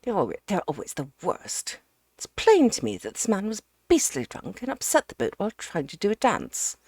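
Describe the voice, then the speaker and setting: A woman reading aloud, voicing a hearty, bossy, bustling middle-aged Englishman who talks loud and brisk. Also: medium pitch, huge pitch swings, slightly rough, dry recording, studio quality, pitched above the performer's own voice.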